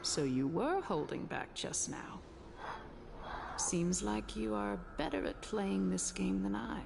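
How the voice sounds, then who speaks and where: A woman's voice: mid-range voice, expressive, slow, creaky, background noise, heavily acted. A woman voicing an imperious, scheming council elder in a video game, with formal, grave, oratorical delivery. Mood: wry, calculating.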